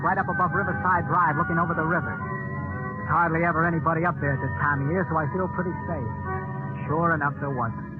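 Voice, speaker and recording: A man's voice: on the high side, natural voice. An actor playing a cold, scheming, nervous man who narrates his own crime in 1940s radio style. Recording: tinny, band-limited old radio recording, music behind.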